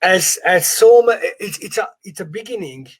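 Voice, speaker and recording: A man's voice: high voice, moderate pace, breathy edge. A man who speaks English as a second language, coaching informally in an emphatic, encouraging way and often repeating phrases. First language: not English. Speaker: property investment coach. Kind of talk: informal live training session.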